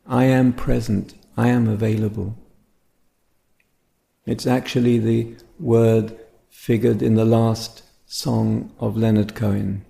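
A man guiding a meditation as a teacher, speaking gently and contemplatively in short phrases separated by pauses. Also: lowish voice, level tone, vocal fry. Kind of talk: guided meditation talk.

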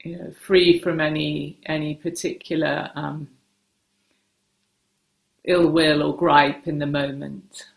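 A woman meditation teacher giving gentle guided instruction in short, unhurried phrases with hesitant pauses, recorded in a roomy, echoing space. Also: low-pitched, level tone, gravelly.

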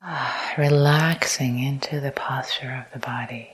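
A woman teaching a guided meditation, speaking softly and calmly in short repeated phrases, often close to a whisper. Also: extremely low pitch, unhurried, rough recording. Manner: soft, breathy, often near-whispered delivery. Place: meditation retreat talk.

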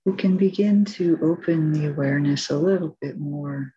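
A woman meditation teacher giving guided instructions in a soft, gentle, unhurried way. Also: sits low, breathy edge. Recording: dull, muffled lo-fi recording.

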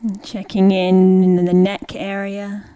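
A woman leading a guided meditation, speaking gently in short, unhurried phrases with long pauses. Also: medium pitch, monotone, clean tone. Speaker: meditation teacher.

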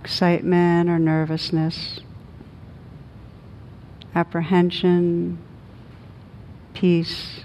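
A woman meditation teacher leading a guided meditation in a gentle, soothing manner with long pauses. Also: fairly low pitch, completely flat delivery, a little hiss or hum.

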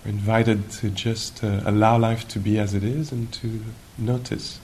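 A man leading a guided meditation as a teacher at a retreat, speaking in an unhurried, musing way with gentle questions and long pauses. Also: fairly even intonation. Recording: noisy live recording with low rumble.

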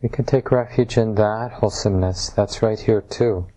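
A man leading a guided meditation as a teacher, speaking in measured short phrases with pauses between them. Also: on the low side, fairly even intonation, dark tone, low-quality recording. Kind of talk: guided meditation, softly instructive. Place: room at a retreat center.

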